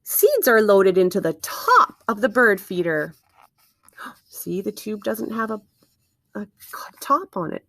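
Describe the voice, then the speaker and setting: A woman's voice: mid-range voice, sing-song, slow, fairly bright tone. A woman reading aloud to young children like a teacher, expressively, with questions and wondering asides to her listeners.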